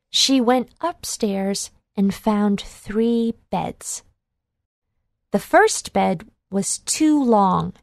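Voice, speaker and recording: Woman, children's story narrator, unhurried, clearly enunciated, mid-pitched, dry recording. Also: no echo. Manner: measured, clearly enunciated read-along delivery.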